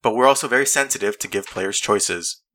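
Bright-voiced young man arguing earnestly. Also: on the low side, fairly quick. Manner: earnest, argumentative, reads quotes aloud. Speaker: podcast host. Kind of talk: casual debate chat.

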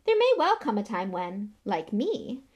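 Woman doing a pompous, booming, animated celebrity schoolmaster. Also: measured pace. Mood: patronising. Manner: booming, hearty, patronising. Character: pompous, jovial, self-important male celebrity teacher.

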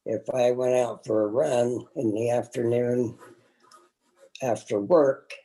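A man's voice: mid-range voice, steady tone, slow, warm tone, low-quality recording. A man in his seventies casually recalling his own experience as an interview guest, plain-spoken and hesitant. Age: 70s. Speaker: interview guest, host's father. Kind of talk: casual personal recollection.

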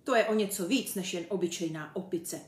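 A woman's voice: lowish voice, close to an everyday voice. A woman voicing a cheeky, boastful young male chimpanzee for a children's story, chatty and brash. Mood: boastful, teasing. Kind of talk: children's story character reading. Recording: roomy echo.

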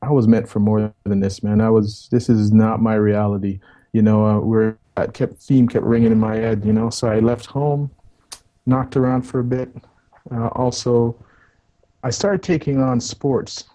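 A man in his fifties from Jamaica, with a Jamaican accent, telling his life story as an interview guest in a rambling, relaxed way, repeating words and saying "man". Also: lowish voice, moderate pace, low-quality recording. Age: 50s.

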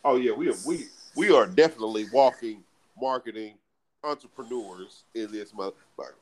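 A man co-hosts a casual podcast in a hyped, slangy style, piling up repeated words when he gets worked up. His voice has a middling pitch for a man, and the talk stays loose and conversational. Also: normal speed, a little mellow. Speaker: podcast co-host.

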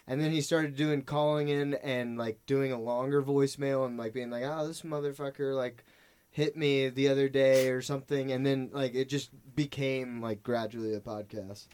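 Rambling, laughing comedian guest. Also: male voice, mid-range voice, steady tone, moderate pace, a little mellow, smooth. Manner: rambling, laughs often, swears. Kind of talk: casual podcast chat.

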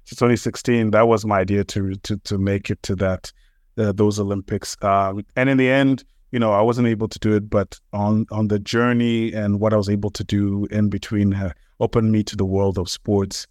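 A man's voice: lowish voice, flat delivery, moderate pace, a little mellow, dry recording, clean studio sound. A middle-aged man from Ghana, a sports marketer answering interview questions with enthusiasm and many fillers and restarts.

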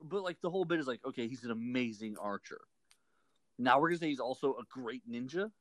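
Texan man, casual co-host, argumentative joker, dry close mic, middling pitch. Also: lively intonation, a bit bright, no room sound. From Texas. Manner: joking, argumentative, quick to correct. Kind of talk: casual chat.

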